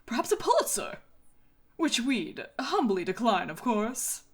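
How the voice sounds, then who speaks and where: A man's voice: extremely high pitch, sing-song, a little slow, a bit bright, heavily acted. Nervy, refined male snob, played by a man, middle-aged, fussy, swooping delivery, comedy fandub with music behind. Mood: relieved, admiring. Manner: fussy, melodramatic, snobbish diction. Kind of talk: comic fandub acting.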